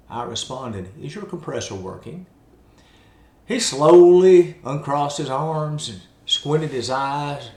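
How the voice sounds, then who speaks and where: An older man from East Tennessee tells his stories in a wry, measured way, with a middle-pitched voice and slightly formal diction. His voice rings in a roomy, echoing space. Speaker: storyteller. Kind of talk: folksy scripted storytelling. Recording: roomy echo.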